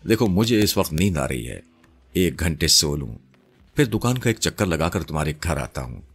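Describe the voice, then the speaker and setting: A man's voice: a bass voice, very animated, slightly rough, heavily acted. A man voicing a cheerful, weary uncle in an audio story, with an easygoing, familiar manner. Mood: tired, reassuring.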